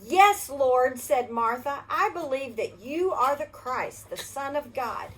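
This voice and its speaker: An older woman reading a Bible story to children in a gentle storybook manner, stopping to ask the kids questions. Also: high voice, lively intonation, slow, slight background noise, poor audio.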